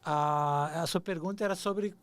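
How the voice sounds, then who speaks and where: A man's voice: on the high side, a little slow. Hesitant, nostalgic man telling stories. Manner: hesitant, repeats words and restarts often; earnest and nostalgic. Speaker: podcast guest. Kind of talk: reflective storytelling.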